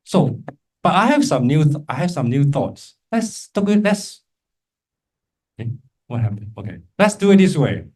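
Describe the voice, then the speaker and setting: A man's voice: a little high, very animated, moderate pace, darkish tone, breathy edge. Man teaching, frequent check-in questions. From Malaysia. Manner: encouraging, informal, frequent check-in tags like "right?" and "okay?". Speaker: Buddhist monk teaching a class. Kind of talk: interactive lecture to a class with remote listeners.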